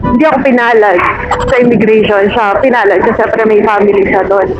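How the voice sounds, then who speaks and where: A woman's voice: medium pitch, fairly even intonation, brisk. A Filipino woman talking casually and candidly as a passenger being interviewed on a ride. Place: outdoors on a moving motorcycle. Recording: muffled, loud traffic and engine noise.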